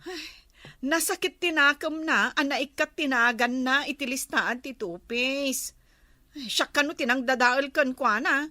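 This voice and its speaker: A woman's voice: high voice, unhurried, sharp tone, no echo, clean studio sound. A woman with an Ilocano accent playing an aggrieved village woman in a comedy drama. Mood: sulky, complaining.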